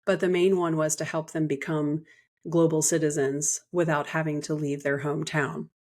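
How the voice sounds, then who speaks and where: A woman's voice: low-pitched, flat delivery, normal speed, a little mellow. A woman hosting a podcast interview, a mother chatting in a friendly, easygoing way. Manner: friendly, chatty, with light laughter. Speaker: podcast host and parent.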